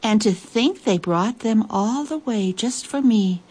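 A woman's voice: fairly high pitch, lively intonation, measured pace, darkish tone, breathy edge, voice pushed up. Woman reading as a gushing storybook queen, lifted voice. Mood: delighted, grateful. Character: proud, delighted cat-loving island queen, gracious and eager.

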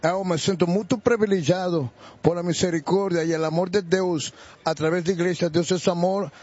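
A native Spanish-speaking man with a Bolivian accent giving religious testimony haltingly, mixing in Spanish words. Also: high voice, fairly even intonation, darkish tone, husky, dull audio. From Bolivia, Santa Cruz de la Sierra. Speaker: church member giving testimony. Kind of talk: religious testimony in a live interview.

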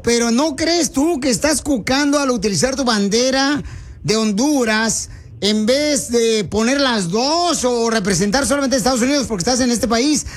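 A man hosting a heated call-in radio show, mocking and confronting a caller. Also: extremely high pitch.

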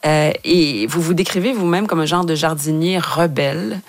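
A woman hosting a radio interview, asking lively, curious follow-up questions. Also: deep voice, moderate pace, no echo. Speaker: radio host.

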